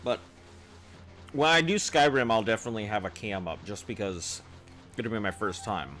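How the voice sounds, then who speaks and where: Bright-toned baritone streamer guy. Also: normal speed, soft background music. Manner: rambling, loose, chuckling at chat. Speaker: game streamer. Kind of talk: casual live-stream chat with viewers.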